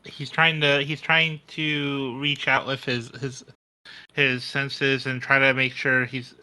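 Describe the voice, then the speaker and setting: A man's voice: mid-range voice, little pitch movement, slow pace, pushed and bright, clear, resonant tone, poor audio. A man playing a tabletop roleplaying game, talking casually and hesitantly as he thinks aloud.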